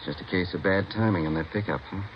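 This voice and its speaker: An American actor playing a steady, plain-spoken police superior in a 1950s radio drama, businesslike and supportive. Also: male voice, low voice, quick pace, lightly acted. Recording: muffled, hissy old 1950 radio recording.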